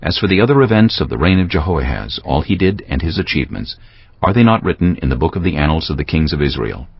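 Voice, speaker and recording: Super deep guy reading bible audiobook, solemn n dramatic, lots of swing, bit muffled, music under. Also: big pitch swings, quick pace, slightly rough. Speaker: audiobook narrator. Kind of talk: dramatic scripted scripture narration. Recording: dull audio with music behind.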